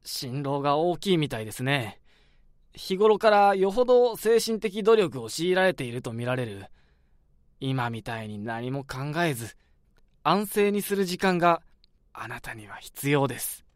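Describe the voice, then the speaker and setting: A calm, lecturing doctor. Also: male voice, on the high side, no echo, clean studio sound. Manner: measured, polite, lecturing. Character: calm philosophical male hospital doctor, middle-aged.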